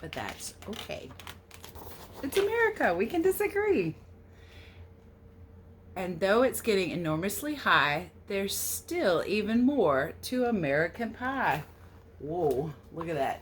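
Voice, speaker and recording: Female teacher for children's read-aloud: expressive, questioning, very varied pitch on the lower side, slight noise behind. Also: takes it slowly. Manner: animated, questioning, sing-song verse delivery. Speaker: teacher reading a picture book to children. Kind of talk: expressive read-aloud with casual asides to young listeners.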